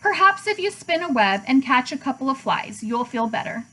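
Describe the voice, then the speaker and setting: A woman voicing a young, gentle, anxious and kind male pig with an earnest manner in a children's storybook. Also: high voice, very animated, normal speed, exaggerated voice. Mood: sympathetic.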